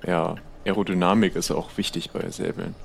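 A man's voice: deep voice, fairly even intonation, moderate pace, creaky, slight background noise. A man playing an easygoing pirate trader in an improvised audio adventure, talking in a casual, persuasive, conversational way. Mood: friendly.

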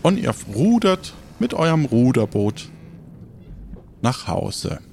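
A man's voice: medium pitch, big pitch swings, takes it slowly, vocal fry, darkish tone, a little hiss or hum. A man narrating an improvised audio adventure game as game master, conversational and feeling his way through the story.

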